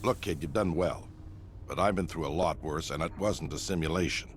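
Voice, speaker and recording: An actor playing a gruff, battle-hardened older military commander in a video game, blunt and dry as a mentor. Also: male voice, extremely low pitch, normal speed, a little hiss or hum. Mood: blunt, measured. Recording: game music behind the voice.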